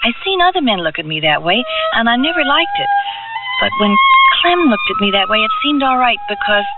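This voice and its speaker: A woman's voice: on the high side, very animated, a little fast, narrow, tinny audio. An actress playing a naive, hesitant young woman in a radio drama, demure and plain-spoken. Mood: wistful. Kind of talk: radio drama acting with first-person narration. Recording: thin band-limited radio recording, loud music.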